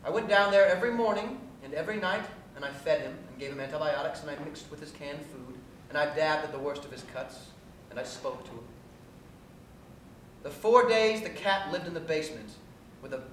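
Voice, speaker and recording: A man gives a live dramatic reading of a first-person story on stage, in a measured, deliberate storytelling manner. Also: lively intonation, fairly bright tone. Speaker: reader performing a short story on stage. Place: theatre stage at a live cabaret, recorded from afar. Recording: echoey distant stage recording, faint hiss and rumble.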